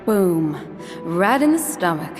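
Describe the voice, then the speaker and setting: A woman's voice: on the low side, big pitch swings, slow pace. Woman acting brash, murderous farm wife, swooping voice. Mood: exasperated, confessing. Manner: folksy, blunt drawl with colloquial grammar. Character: brash rural farm wife, murderous and fed up with her husband. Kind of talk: audio drama acting. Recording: long reverb with loud music behind.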